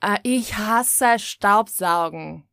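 Eine Frau um die dreißig als Co-Host eines Podcasts, die lebhaft erzählt, oft lacht und locker flucht. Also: female voice, medium pitch, drawn-out words, creaky, smooth, dry recording, studio quality. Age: 30s. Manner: lively, laughs often, swears casually. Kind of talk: casual chat.

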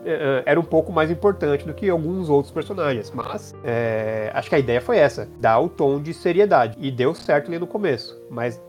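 A man's voice: fairly high pitch, fairly quick, few pauses, a little mellow, faint music behind. Hesitant man thinking aloud. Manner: thinking aloud, many restarts. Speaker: podcast host. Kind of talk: casual chat.

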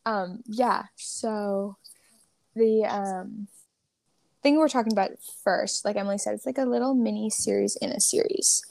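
Young female co-host on a remote call, dry close sound, creaky, talks in loose restarts. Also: mid-range voice, measured pace, a little mellow, no echo. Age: young adult. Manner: joking, self-deprecating, talks in loose restarts. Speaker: podcast co-host. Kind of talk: casual faith podcast chat. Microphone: remote call with headphones.

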